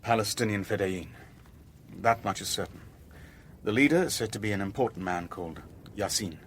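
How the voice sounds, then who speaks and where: Actor as low-voiced, weary shipping owner. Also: male voice, fairly quick, a little hiss or hum, natural voice. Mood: guarded, controlled. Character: weary, shrewd shipping owner narrating his own spy ordeal. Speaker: narrator and lead character. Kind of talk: radio drama, first-person narration and dialogue.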